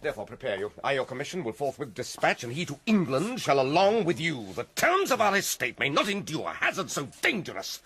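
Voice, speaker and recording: An actor playing a scheming, guilt-ridden usurper king in a Shakespearean radio drama, grave and declamatory in a husky voice. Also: fairly high pitch, huge pitch swings, fairly quick, hardly any pauses, fairly bright tone, a little hiss or hum, heavily acted. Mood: wary, commanding.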